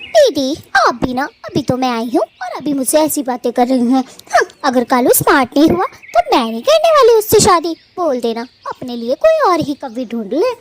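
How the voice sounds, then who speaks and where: A woman's voice: high-pitched, huge pitch swings, moderate pace, a little hiss or hum. A woman voicing a playful, cheeky young female bird with a pert, teasing manner in a cartoon story. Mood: teasing, boastful. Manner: pert, teasing, mock-sulky. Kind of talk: animated cartoon story dialogue.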